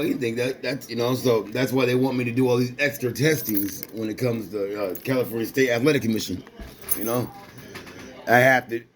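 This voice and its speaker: A man in his forties, an amateur boxer, talking casually in loose, repetitive street slang with lots of restarts. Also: medium pitch. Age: 40s. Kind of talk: casual rambling talk to a companion.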